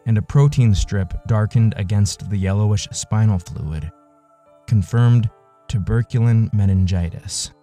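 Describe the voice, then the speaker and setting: A man narrating a scripted literary audio story in a measured, solemn manner. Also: lowish voice, dark tone.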